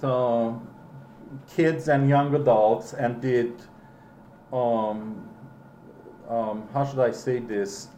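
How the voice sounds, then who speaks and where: A middle-aged man who is not a native English speaker, with a foreign accent, recalling his past slowly and hesitantly, with many ums and restarts. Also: mid-range voice, darkish tone, reverberant, a little hiss or hum. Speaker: interviewee. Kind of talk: casual recollection.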